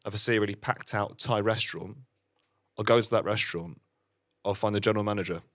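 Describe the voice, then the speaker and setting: A man performing live musical stand-up on stage, talking in an awkward, understated, halting way. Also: fairly low pitch, steady tone, a bit bright. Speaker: musical comedian with keyboard. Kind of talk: live stand-up with spoken comic songs. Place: live stage before an audience. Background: keyboard music.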